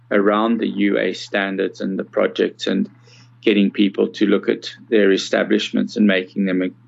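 Gravelly low-voiced South African man in his sixties. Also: darkish tone, highs cut off. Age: 60s. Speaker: podcast guest, accessibility consultant. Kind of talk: conversational interview answers.

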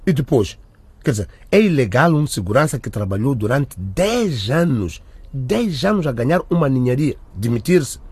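A distressed father in a radio drama, indignant and desperate. The voice is very raspy and mellow, and it swoops dramatically between protest and pleading. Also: fairly high pitch, no echo.

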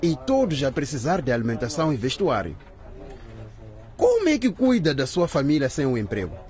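A man's voice: a little high, wildly swooping pitch, brisk, darkish tone. A man acting in a radio drama as an intimidating police interrogator with a sarcastic, probing manner. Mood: sly, probing.

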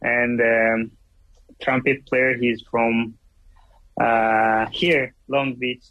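A man's voice: mid-range voice, flat delivery, no echo, lo-fi sound. A man from Ethiopia with an Ethiopian accent, speaking English as a second language, searching for words as an interview guest. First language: Amharic. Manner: halting, searching for words, many fillers. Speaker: musician guest. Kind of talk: interview over a line.

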